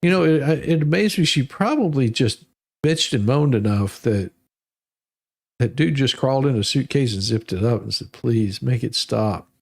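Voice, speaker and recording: American guy with a creaky voice, rambling and sardonic, salty casual commentary. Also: medium pitch, expressive, moderate pace. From Detroit area, United States. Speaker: host of a humorous letter-reading show.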